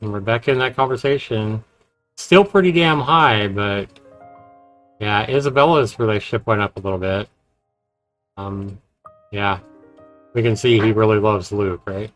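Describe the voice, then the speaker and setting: Male streamer, lowish voice, reads visual novel text with casual asides, faint music underneath. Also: moderate pace, pauses often, a bit bright. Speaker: game streamer. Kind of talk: reading visual novel narration aloud with casual commentary. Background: game music.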